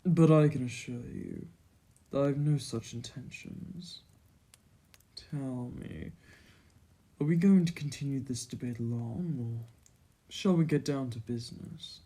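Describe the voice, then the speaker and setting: A man plays a vampire prince in a fantasy ASMR roleplay. His dark, mellow voice sits at a medium pitch and moves at a slow, deliberate pace. He is courtly and formal, with a haughty, condescending self-assurance. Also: natural voice. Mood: smug, coaxing. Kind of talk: scripted ASMR roleplay acting.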